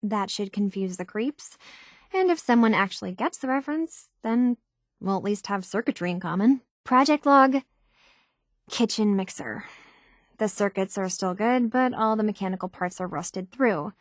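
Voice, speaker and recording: A woman's voice: medium pitch, moderate pace, dry recording, studio quality, close to an everyday voice. An actress playing an earnest young woman tinkerer searching for her identity in a video game, speaking in a natural, slightly hesitant conversational way. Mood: chatty, tinkering. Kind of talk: naturalistic game dialogue acting.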